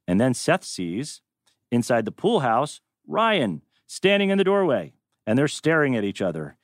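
A man's voice: medium pitch, wildly swooping pitch, a little slow, no echo, studio quality. A man hosting a live table read and narrating stage directions, tossing in wry, joking asides.

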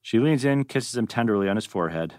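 A man's voice: fairly low pitch, expressive, fast talker, darkish tone, no room sound, studio quality. A man narrating scene headings and action as the stage directions reader at a live script table read.

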